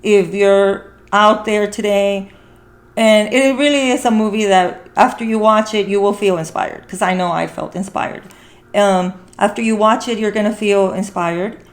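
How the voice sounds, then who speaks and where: A woman speaks as a podcast host in an informal conversation. Her voice sits at a medium pitch. She comes across as earnest and encouraging, often repeating a phrase to press her point. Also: a little slow. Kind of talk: casual podcast conversation about a film.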